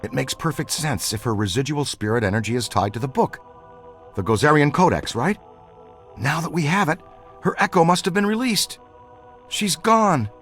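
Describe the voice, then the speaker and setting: A man playing an eager, earnest ghost hunter with boyish enthusiasm and an animated delivery in a video game. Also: a little high, moderate pace, soft background music.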